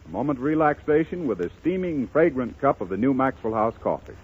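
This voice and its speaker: A man's voice: mid-range voice, lively intonation, brisk, breathy edge. An American man delivering scripted 1930s radio narration and sponsor announcements on a tinny, muffled broadcast.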